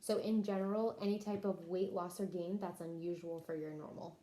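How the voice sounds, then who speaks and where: A woman's voice: on the low side, steady tone, a little fast, dark tone. A woman conducting a health history interview in the manner of a clinical questionnaire, as a nurse taking down a patient's answers.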